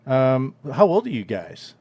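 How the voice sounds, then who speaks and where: A man's voice: mid-range voice, big pitch swings, unhurried, a little mellow, slightly rough, dull audio. A man in his thirties hosting a podcast, asking curious, blunt questions in a casual chat. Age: 30s. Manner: curious, blunt, swears when riled. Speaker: podcast host.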